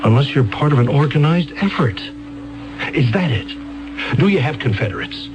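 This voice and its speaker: A flustered male defense attorney, played by an actor in an old radio play, earnest and pleading, and he stammers when he is thrown. His pitch swings widely as he presses his questions. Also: very animated, normal speed, highs cut off, music in the background, natural voice. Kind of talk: 1970s radio drama acting.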